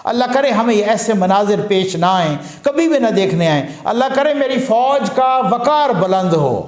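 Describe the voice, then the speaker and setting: High-voiced man giving political commentary. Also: lively intonation, normal speed, echoey room. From Pakistan. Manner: earnest, rhetorical, repeats phrases in lists. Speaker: news commentator.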